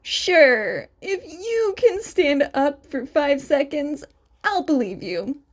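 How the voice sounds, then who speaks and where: Woman playing an excitable, caring man, high and emphatic. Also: slow, heavily acted. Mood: exasperated worry. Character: excitable, worried, caring man looking after his family.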